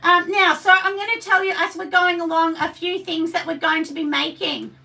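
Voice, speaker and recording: A chatty Australian woman with a husky voice, hosting a live craft class and rambling to her viewers. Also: extremely high pitch, fairly even intonation, moderate pace, pushed and bright. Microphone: camera set down at a distance. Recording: voice a bit distant from the mic.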